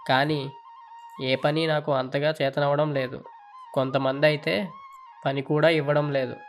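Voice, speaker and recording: A man's voice: on the high side, level tone, a little slow, soft background music. A man playing a dejected, dutiful young son in a cartoon story, speaking earnestly and sorrowfully. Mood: sad, earnest. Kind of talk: Telugu children's cartoon dialogue.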